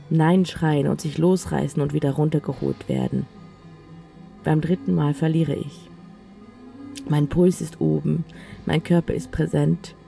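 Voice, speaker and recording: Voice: medium pitch, vocal fry, warm tone. A narrator reading a literary first-person audio play in an intimate, matter-of-fact way. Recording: music behind the voice. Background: music.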